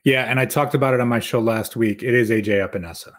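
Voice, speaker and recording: A man's voice: mid-range voice, quick pace. A man giving casual sports analysis as a podcast guest, explaining his points conversationally.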